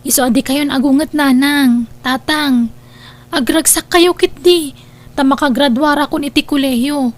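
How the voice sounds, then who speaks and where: Casting: actress as a meek, pleading young Ilocano Filipino daughter for family audio drama, clearly high-pitched. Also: female voice, a little slow.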